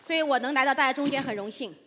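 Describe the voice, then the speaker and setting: Fast high-voiced church interpreter. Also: bright, forceful tone. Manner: quick, with fillers and self-corrections. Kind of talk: consecutive interpretation. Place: church hall. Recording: church hall reverb.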